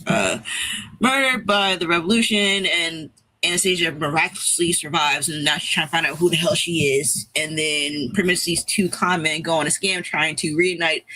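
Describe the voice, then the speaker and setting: Bright, higher-voiced man chatting wryly. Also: rough recording. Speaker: podcast co-host. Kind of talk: casual chat, giving recommendations.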